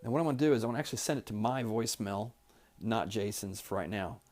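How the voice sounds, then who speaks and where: A man's voice: on the low side, quick pace, darkish tone. Man walking through a training tutorial. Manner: plain-spoken, step-by-step explaining. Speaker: trainer demonstrating office phone features. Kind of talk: instructional product tutorial, demonstrated live.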